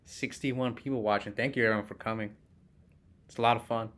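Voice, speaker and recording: A man's voice: medium pitch, fairly even intonation, fairly quick, a bit bright. A man casually commentating a card game he is playing live, thinking aloud and chatting with viewers as he goes.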